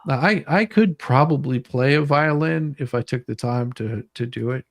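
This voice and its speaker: A man's voice: mid-range voice, moderate pace, vocal fry, no echo, studio quality. A man hosting a casual livestream, rambling and repeating words as he thinks aloud. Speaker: livestream host.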